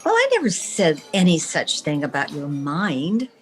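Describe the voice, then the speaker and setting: A woman playing a sharp, self-assured lady publisher from an old-time radio comedy. She takes her time over the words and swings her pitch expressively. The delivery is teasing and theatrical. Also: huge pitch swings, unhurried, faint music behind, full character voice. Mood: teasing, exasperated.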